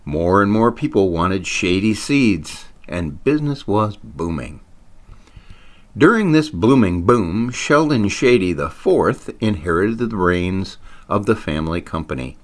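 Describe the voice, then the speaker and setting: Man narrating a story with very animated pitch. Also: unhurried. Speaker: author reading own short story.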